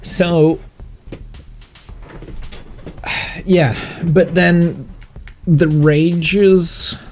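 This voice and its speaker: A man chatting casually on a gaming livestream, thinking aloud in a rambling way, with a slightly croaky, sniffly voice. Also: on the high side, fairly even intonation, very slow, a little mellow, soft background music. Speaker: live streamer and game master. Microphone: streaming mic monitored through headphones.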